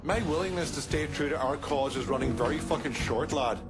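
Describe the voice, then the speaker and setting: An actor playing a surly, impatient gangster, sardonic and threatening, in a game cutscene. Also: male voice, fairly high pitch, brisk, close to an everyday voice. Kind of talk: dramatic video game cutscene acting. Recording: music behind the voice.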